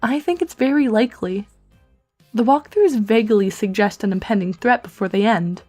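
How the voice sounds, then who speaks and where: A woman's voice: mid-range voice, moderate pace, darkish tone, faint music behind, natural voice. A woman playing a dry, composed, verbose teenage girl with wry, articulate delivery in a fan webcomic dub. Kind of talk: fan comic dub acting.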